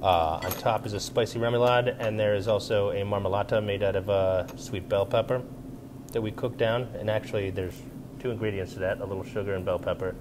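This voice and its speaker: A man's voice: on the low side, fairly even intonation, a little fast. A man in his thirties with an American accent, a chef casually explaining dishes in informal, hesitant phrasing. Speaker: chef and restaurant owner. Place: restaurant bar indoors. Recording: noisy room ambience.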